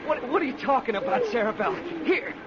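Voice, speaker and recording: A man's voice: very high voice, sing-song, fast talker, a loud crowd. An actor playing an impatient, disbelieving young country man with scolding rural speech, in vintage radio drama style. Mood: alarmed, impatient. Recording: muffled, noisy old radio recording.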